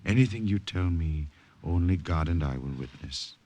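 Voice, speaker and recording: An actor playing a kindly, fatherly Italian priest in a 1950 radio drama, speaking gently with an Italian accent. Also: low-pitched, animated, normal speed. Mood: solemn, reassuring. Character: kindly old Italian priest, soothing and steadfast.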